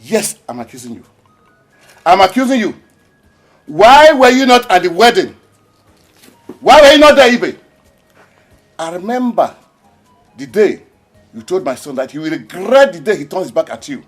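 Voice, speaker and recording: An actor playing a furious, grief-stricken father who shouts accusations at his brother. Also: high-pitched, big pitch swings, moderate pace, long pauses, faint music behind. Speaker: father in a village drama.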